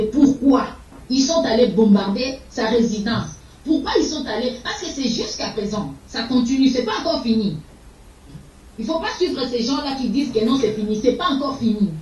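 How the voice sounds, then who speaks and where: A woman's voice: fairly high pitch, darkish tone, a little hiss or hum. An older woman from Congo, speaking fiercely and repetitively in an interview, sometimes stumbling over repeated words. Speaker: political activist. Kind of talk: impassioned interview answers. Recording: echoey, distant, dull sound.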